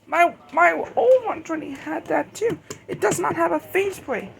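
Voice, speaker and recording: A hobbyist talking casually and unscripted in a show-and-tell, rambling and halting, often self-correcting, in an amateur home recording. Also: very high-pitched, animated, vocal fry, slight background noise. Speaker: hobbyist video creator.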